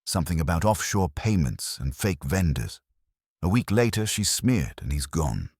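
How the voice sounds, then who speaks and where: Man playing a deep-voiced earnest client. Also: very animated, normal speed, darkish tone, slightly rough, dry recording, clean studio sound, exaggerated voice. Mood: worried. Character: worried male client seeking help.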